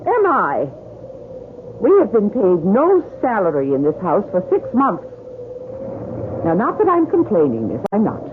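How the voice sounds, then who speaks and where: An actress playing an indignant, spiteful household cook in a radio drama, defensive and scolding. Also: female voice, medium pitch, huge pitch swings, a little slow, pauses often. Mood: accusing. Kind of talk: old-time radio drama acting. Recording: tinny, noisy old radio recording.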